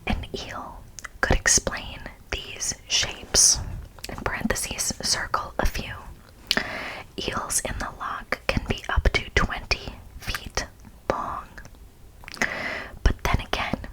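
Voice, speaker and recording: A woman whispering as an ASMR host, softly reading from a script with casual asides. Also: takes it slowly, low-quality recording. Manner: soft, unvoiced whispering.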